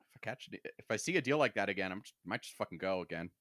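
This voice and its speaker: A man's voice: fairly low pitch, lively intonation, racing, fairly bright tone, no echo, clean studio sound. A man hosting a podcast in casual, wry banter, joking and swearing freely as he talks. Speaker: podcast host.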